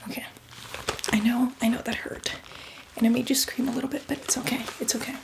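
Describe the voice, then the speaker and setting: A woman's voice: a little high, level tone, a little fast, gravelly, noisy background. Woman playing a whispering, chatty zombie in ASMR. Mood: fussy, reassuring. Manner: rambling, reassuring, casual. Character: chatty female zombie, eager, apologetic, fussy, casually bloodthirsty.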